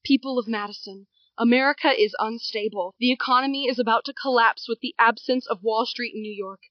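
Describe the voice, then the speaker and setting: A woman's voice: on the high side, brisk, no echo, close to an everyday voice. A woman playing an earnest leader giving a formal, imploring wartime public address. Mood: grave, imploring. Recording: dull, dry, band-limited broadcast sound.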